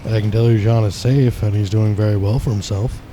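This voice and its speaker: Low, laconic henchman, played by a man. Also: steady tone, fairly quick, noisy background, natural voice. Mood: unbothered. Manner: curt, unbothered. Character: laconic, unflappable henchman in a suit. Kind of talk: dramatic story reading.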